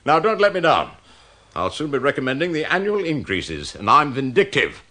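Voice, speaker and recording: Petty older office tyrant, pompous, forceful, husky, very animated delivery, radio comedy. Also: male voice, fairly high pitch, moderate pace, exaggerated voice. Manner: bossy, blustering, self-important. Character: pompous, vindictive, petty male department head, older. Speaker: office department manager. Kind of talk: British radio comedy drama acting.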